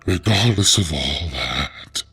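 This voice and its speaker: A man playing a solemn, weary dying revenant in an audio drama, halting and wheezing as he strains to speak. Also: a bass voice, expressive, sharp tone, full character voice. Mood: solemn, weary confession. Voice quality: gravelly, strained. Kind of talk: dramatic audio fiction acting.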